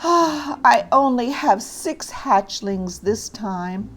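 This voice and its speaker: A woman's voice: fairly high pitch, lively intonation, takes it slowly. A woman voicing a caring, protective mother alligator in a children's storybook, tender and earnest. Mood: worried.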